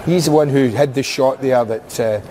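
Animated male football pundit. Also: medium pitch, moderate pace, a little hiss or hum. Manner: animated, interjecting, argumentative. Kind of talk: studio match analysis. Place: TV studio.

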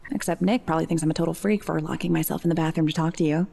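A woman's voice: low voice, fairly even intonation, very fast, vocal fry, dark tone, breathy edge, full character voice. An actress playing a nervous, self-doubting young woman in a naturalistic audio drama. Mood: anxious. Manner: hesitant, nervous.

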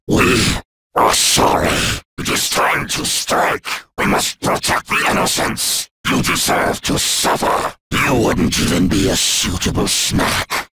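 A man's voice: very noisy, exaggerated voice. An actor voicing a hungry, menacing male alien symbiote in a video game, who calls himself "we" and growls threats and gloats. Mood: commanding. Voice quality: deep gravelly growl. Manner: speaks of itself as "we", threatening and gloating.

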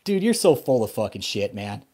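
A man's voice: on the low side, very animated, normal speed, warm tone. A man, probably in his thirties, arguing heatedly as a host, blunt and profane, often cutting in. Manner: blunt, combative, profane, interrupting. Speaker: YouTube host confronting a caller. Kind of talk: heated phone argument. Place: small room at home.

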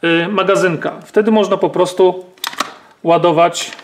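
A man's voice: high voice, steady tone, unhurried, slight background noise. A man, demonstrating and explaining a rifle step by step, with many fillers and tag questions. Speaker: gun channel host. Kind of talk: hands-on firearm demonstration.

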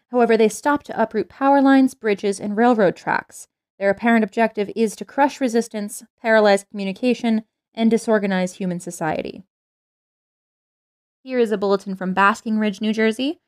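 A woman playing a grave radio news announcer in a drama, reading urgent bulletins in a formal, measured way. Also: medium pitch, lightly acted. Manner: formal, measured, serious delivery.

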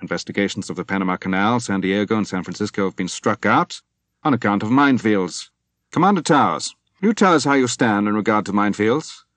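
A man reading a senior naval officer who is formal and commanding, speaking in a measured, emphatic way. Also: lowish voice, expressive, fairly bright tone, dry recording.

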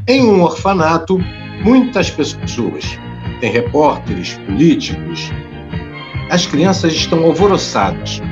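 A man's voice: a little high, big pitch swings, slow pace, lo-fi sound, music in the background. A man reading scripted narration for an audio drama as its narrator.

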